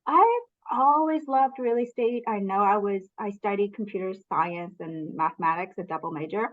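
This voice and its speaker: A middle-aged woman from Canada speaks as an interview guest, candid and motivational as she shares her path. Her voice sits at an ordinary pitch for a woman. The recording sounds a little muffled and lo-fi. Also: moderate pace. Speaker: guest, business coach. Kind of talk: casual interview storytelling.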